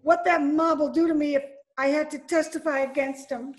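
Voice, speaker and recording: A woman acting scared young moll, high voice, slangy, pleading, streetwise, old-time radio melodrama, home call recording. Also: steady tone, normal speed, close to an everyday voice. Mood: frightened. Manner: breathless pleading, slangy "gee" and "ya".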